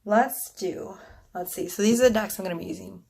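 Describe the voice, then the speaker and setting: A woman's voice: mid-range voice, fairly quick, creaky, darkish tone, breathy edge. A woman with a scratchy, congested voice reading tarot casually to a phone camera, rambling with many ums and whispered asides. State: congested from allergies. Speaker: tarot reader.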